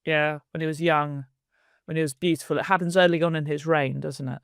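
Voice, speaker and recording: A woman's voice: sits low, steady tone, a little fast, dry recording, clean studio sound. A woman co-hosting a podcast in casual chat, chatty and jokey, laughing easily. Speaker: podcast co-host.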